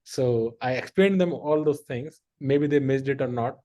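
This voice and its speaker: A man's voice: mid-range voice, a little slow, dark tone. Middle-aged male podcast host, rambling anecdotal delivery. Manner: rambling, heavy filler use, frequent laughter. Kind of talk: casual conversational storytelling.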